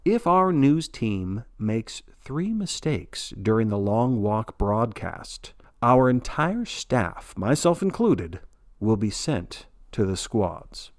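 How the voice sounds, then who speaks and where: Casting: male sports commentator, acted by a man, folksy and blithe, lively swooping delivery, for a dystopian audio drama. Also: mid-range voice, slow pace. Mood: earnest, placating. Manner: folksy, showy, blithely cheerful about grim events. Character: jovial male sports broadcaster of a dystopian death march.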